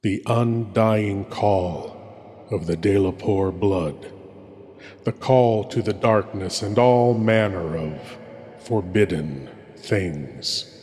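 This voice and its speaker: Very slow, dark-voiced man in cavernous reverb. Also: low voice. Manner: ominous, drawn-out, dramatic. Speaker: horror show host. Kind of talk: theatrical spooky scripted hosting.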